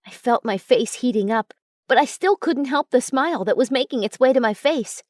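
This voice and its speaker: A woman reading a first-person story as a narrator, in an expressive way. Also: a little high, moderate pace, dry recording, studio quality. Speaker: audiobook narrator.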